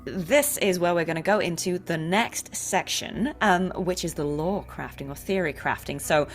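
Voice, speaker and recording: A woman hosting a chatty, playful interview, talking like an excited fan in an animated, gushing way. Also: on the low side, moderate pace, soft background music. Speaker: interview show host and voice actor.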